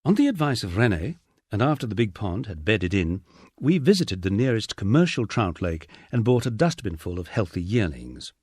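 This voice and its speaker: A man's voice: fairly low pitch, a little mellow, breathy edge, no room sound, clean studio sound. A man narrating a first-person memoir audiobook in a wry storytelling manner.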